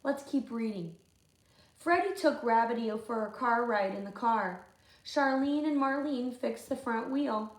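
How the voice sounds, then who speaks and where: A woman reading a picture book aloud to children like a teacher, adding expressive, chatty comments. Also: on the high side, a little slow, reverberant, rough recording. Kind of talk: storybook read-aloud with asides to children.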